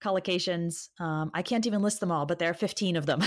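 A woman's voice: on the low side, quick pace. A woman who works as an online English teacher, talking conversationally in an interview.

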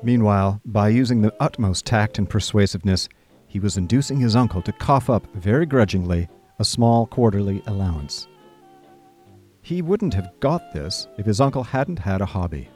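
A man playing a chatty, idle young gentleman who narrates a comic radio drama in breezy, old-fashioned upper-class phrasing. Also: on the low side, lively intonation, normal speed, soft background music, close to an everyday voice. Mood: amused explaining.

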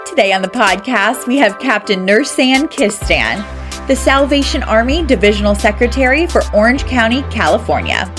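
A woman reading a scripted podcast introduction and sponsor thanks in a slow, measured way. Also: fairly high pitch, animated. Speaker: podcast announcer. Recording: intro read over clear background music.

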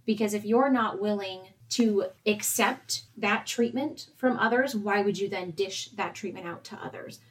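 A young woman co-presenting, talking parents through advice in a steady, explanatory way and addressing them directly. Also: medium pitch. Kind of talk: semi-scripted advice talk.

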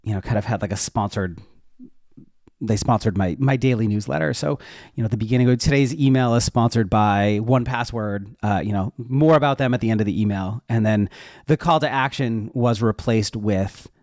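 A man's voice: on the low side, moderate pace, darkish tone, dry recording, studio quality. A man talking casually and informally as an interview guest, explaining how he works in a conversational way. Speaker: interview guest, web developer and educator.

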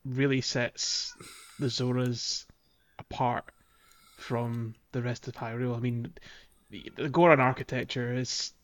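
A man's voice: medium pitch, fairly even intonation, unhurried. A man co-hosting a podcast, talking casually about a video game. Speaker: podcast co-host.

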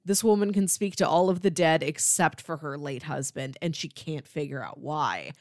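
Low-voiced woman, very dry close sound. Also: moderate pace, no echo. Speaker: podcast co-host. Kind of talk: casual chat.

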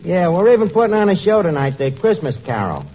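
An actor playing a wisecracking, uneducated tavern manager with a New York accent and slangy, word-mangling patter in a 1940s radio comedy. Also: male voice, high voice, sing-song, fast talker. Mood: breezy, wisecracking. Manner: streetwise malapropisms, ungrammatical slangy patter. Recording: muffled old radio, noisy, studio audience.

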